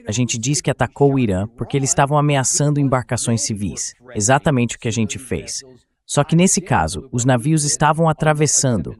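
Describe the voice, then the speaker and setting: A man's voice: medium pitch, a little mellow. Male political show host in Portuguese voice-over. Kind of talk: political commentary, translated voice-over.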